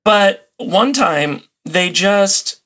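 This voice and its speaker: A man's voice: sits high, expressive, drawn-out words, bright, forceful tone. A man talking casually as a podcast guest, telling things in an amused way. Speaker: podcast guest, old-time radio enthusiast. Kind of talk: casual interview chat.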